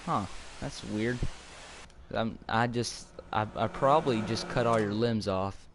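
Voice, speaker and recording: A teenage boy with a fairly low voice talks casually to his viewers over a game, rambling and stumbling over his first words. Faint game noise hums quietly behind him. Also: a little slow. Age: teens. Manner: rambling, repeats words when starting sentences. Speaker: gaming video host. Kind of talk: casual gameplay chat with viewers.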